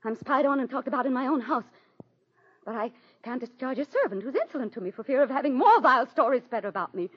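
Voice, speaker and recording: Proud high-strung first lady, husky voice, dramatic formal delivery, muffled old 40s radio. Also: lively intonation, fast talker. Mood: distraught, angry. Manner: formal, theatrical, emphatic. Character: proud, anxious, embattled president's wife, prone to outbursts. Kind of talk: 1940s radio drama acting. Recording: muffled vintage 1940s radio recording.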